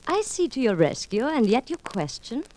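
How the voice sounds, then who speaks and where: An actress playing a mysterious, seductive and menacing woman in a radio drama, speaking in formal, measured, foreign-sounding phrases. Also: a little high, sing-song, a little mellow. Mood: veiled threat. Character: mysterious, seductive, menacing female agent of exalted leader. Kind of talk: 1940s radio drama acting. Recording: noisy old radio recording.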